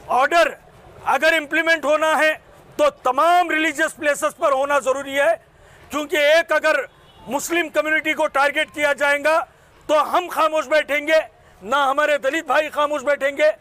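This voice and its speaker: A man's voice: very high voice, fairly even intonation, normal speed, bright, forceful tone. A man delivers an impassioned, emphatic public statement in short declamatory phrases, as a community spokesman.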